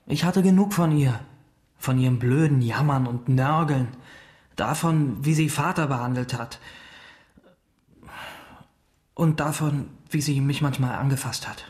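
A young actor playing a flippant, stubborn teenage brother in trouble in a radio drama. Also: male voice, mid-range voice, normal speed, darkish tone, lightly acted. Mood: defiant.

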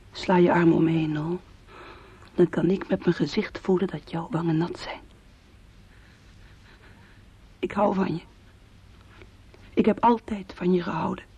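A woman's voice: fairly low pitch, warm tone, a little hiss or hum, close to an everyday voice. An actress in a radio play, playing an anguished young woman who speaks in an intimate, imploring way of love and fear. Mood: tender, sorrowful. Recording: dull old radio play recording.

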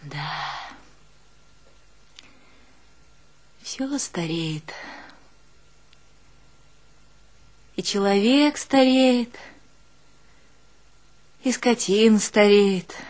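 A woman's voice: medium pitch, darkish tone. An actress playing a weathered, sorrowful village woman, in a folksy, dramatic theatre monologue that slips into song. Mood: wistful. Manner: drawn-out, folksy, dramatic, swinging from murmur to outcry. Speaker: stage actress in a one-woman show. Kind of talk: theatrical monologue with folk singing.

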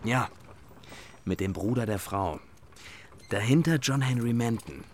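An actor playing a sociable middle-aged man, chatting casually in a discreet, hushed way in a radio drama. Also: mid-range voice, animated, unhurried, a little hiss or hum, close to an everyday voice. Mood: discreetly chatty.

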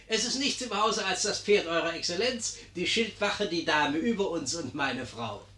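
A sly, obsequious innkeeper in an old German radio comedy. The voice sits fairly high and swings in lively curves. He is deferential and flattering, with gentle irony and a bright edge. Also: moderate pace. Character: servile, sly, cheerfully unflappable Italian innkeeper, man.

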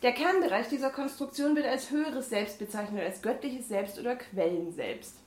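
A woman with a German regional dialect co-hosting a casual talk show, reading a book aloud with halting, amused asides. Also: on the high side. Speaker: podcast co-host reading from a book. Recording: echoey room with faint noise.

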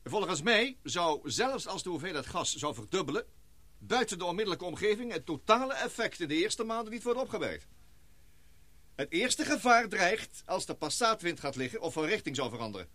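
Radio drama earnest expedition scientist: high-pitched, forceful, precise and oratorical, recorded dry. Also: male voice, sing-song, fairly quick, no room sound, heavily acted. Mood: grave, explanatory. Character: earnest male scientist leading an island expedition, formal, excitable. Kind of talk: Dutch radio play acting.

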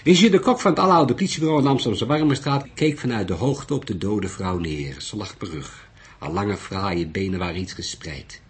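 A man narrating a literary detective story in a measured, deliberate way. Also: on the low side, expressive, slightly rough. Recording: noisy recording.

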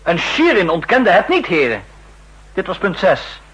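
Insistent spy official, high voice swooping around, biting and formal, rough old radio sound. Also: male voice, wildly swooping pitch, unhurried, fairly bright tone, heavily acted. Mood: accusing, triumphant. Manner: pointed, emphatic, biting, formal. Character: driven, insistent male counter-intelligence official making accusations. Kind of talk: 1960s radio drama acting.

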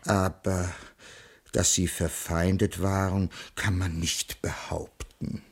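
Pedantic schoolteacher witness, low husky voice, formal and halting, radio crime play. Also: male voice, level tone, full character voice. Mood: reflective, formal. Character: hesitant, pedantic male school colleague giving testimony. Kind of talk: 1980s German radio crime drama acting.

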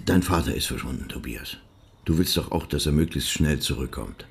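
A man's voice: very deep voice, moderate pace, gravelly, breathy edge, exaggerated voice. A man playing a patient, matter-of-fact investigator who questions witnesses in short, probing prompts in a radio play. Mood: brisk, businesslike.

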